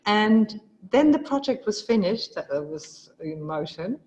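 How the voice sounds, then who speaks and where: A woman hosts an online webinar and talks with enthusiasm. She pauses often on fillers and restarts her sentences. The sound comes through a video call. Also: medium pitch, expressive, a little mellow, lo-fi sound. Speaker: webinar host. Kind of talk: live webinar presentation.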